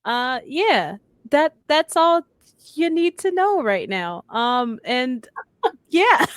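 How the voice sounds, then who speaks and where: Female podcast panelist, fairly high voice, dry close sound, chatty and jokingly self-deprecating. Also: very animated, extremely slow, no room sound. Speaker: podcast panelist, content creator. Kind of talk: casual podcast chat.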